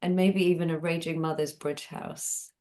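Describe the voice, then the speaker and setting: Playful, lowish-voiced woman. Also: steady tone, normal speed, darkish tone. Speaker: guest, training facilitator. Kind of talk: casual conversation.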